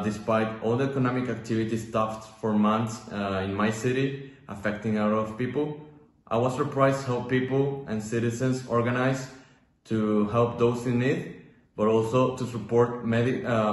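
Young man from Mexico, mid pitch, echoey room. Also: fairly even intonation, a little slow. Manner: earnest, some fillers and restarts. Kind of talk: recorded video message. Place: indoor room.

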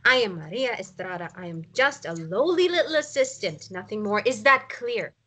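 A woman's voice: mid-range voice, expressive, normal speed, bright, forceful tone. An actress playing a stern woman captive who takes command like a military leader, giving firm, controlled orders in a drama read-through. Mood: urgent, commanding. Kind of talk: script read-through acting.

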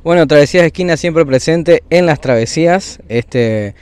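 A man's voice: a little high, normal speed, darkish tone, clean tone. A man working as a street interviewer who asks short prompting questions.

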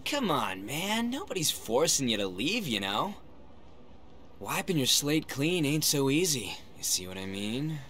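A brash, energetic teenage boy in an animated game cutscene. He talks in loose slang, unhurried, with big swings of pitch. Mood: casual, urging. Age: teens. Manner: slangy, loud, casual.

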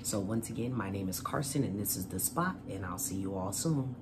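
Man hosting storytime, clear background noise. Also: on the low side, animated, fairly quick. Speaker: host of a children's read-aloud channel. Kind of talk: storytime read-aloud and channel chat.

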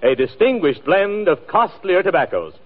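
Old-time american radio ad guy, formal and polished, slightly high voice, narrow muffled sound. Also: very animated, normal speed, over loud music. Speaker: radio announcer. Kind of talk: 1940s radio ad read.

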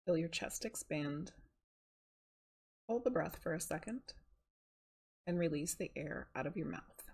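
A woman leading a guided meditation in a calm, soothing, unhurried manner, with a soft, breathy voice. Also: low voice.

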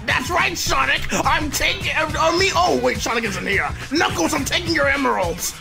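A man's voice: very high-pitched, expressive, normal speed, creaky, over loud music, exaggerated voice. A man voicing a ranting, melodramatic middle-aged mad scientist villain in a comedic game fandub, bombastic and theatrically whiny. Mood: furious. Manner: bombastic, shouty, theatrical whining.

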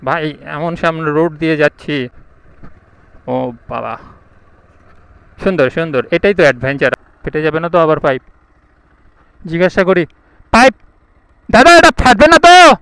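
A man's voice: a little high, sing-song, moderate pace, long pauses, fairly bright tone, smooth, no room sound, rough recording. Chatty motovlogger man on the road. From India. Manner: chatty, thinks aloud, asks passersby for directions. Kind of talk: casual vlog narration while riding. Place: outdoors on village roads, riding a motorbike. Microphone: action camera mic.

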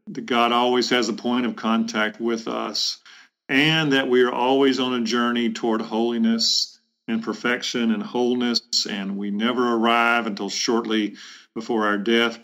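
A middle-aged man, a bishop answering interview questions in a reflective, halting way with measured phrasing. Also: mid-range voice, a bit bright. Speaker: bishop, podcast guest. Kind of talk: thoughtful interview conversation.

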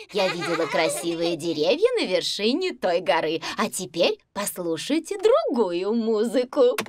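A woman voicing a kindly gazelle nursery teacher in a dubbed children's cartoon, encouraging and carefully enunciated. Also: a little high.

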